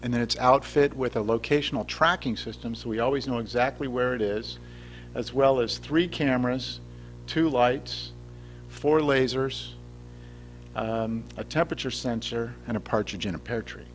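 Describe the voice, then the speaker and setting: A man giving a public science lecture, laying things out clearly and asking the audience questions. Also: mid-range voice, moderate pace, a little hiss or hum. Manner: clear, explanatory, addresses the audience directly. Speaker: guest lecturer. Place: lecture hall.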